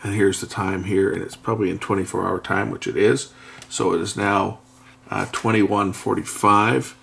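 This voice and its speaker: Gravelly low-voiced man demoing a gadget. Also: steady tone, fairly bright tone. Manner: thinking aloud while pressing buttons, unhurried. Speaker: product reviewer. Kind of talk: casual hands-on product demo. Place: indoors at a workbench.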